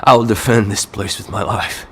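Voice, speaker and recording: Husky, pained security captain. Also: male voice, lowish voice, steady tone, normal speed. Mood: grave, desperate. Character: wounded male security captain, grave and resolute. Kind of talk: video game cutscene acting.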